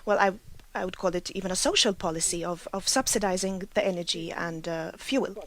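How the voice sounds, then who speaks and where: A woman's voice: fairly low pitch, a little fast. Woman arguing at a meeting. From Malta. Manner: argumentative, with frequent restarts. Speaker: meeting delegate. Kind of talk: formal meeting statement.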